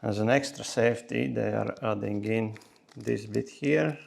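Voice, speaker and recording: A man's voice: lowish voice, steady tone, unhurried, vocal fry, darkish tone. A man talking casually through a hands-on tutorial step by step, with non-native English phrasing. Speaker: host of a motorcycle gear how-to video.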